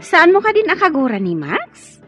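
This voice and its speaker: A woman's voice: very high-pitched, huge pitch swings, moderate pace, fairly bright tone, soft background music, heavily acted. A woman playing a teasing, nosy friend and confidante in a radio drama. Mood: coaxing. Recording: muffled, lo-fi radio drama audio.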